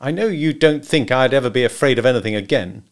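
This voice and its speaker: A man voicing an eager, excitable male safari client with boyish enthusiasm. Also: medium pitch, quick pace, close to an everyday voice. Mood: elated.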